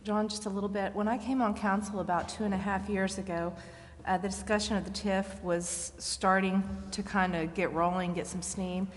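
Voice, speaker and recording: A woman's voice: mid-range voice, steady tone, normal speed. A female council member giving informal, earnest, plain-spoken remarks at a public meeting. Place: large indoor council chamber. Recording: reverberant meeting hall.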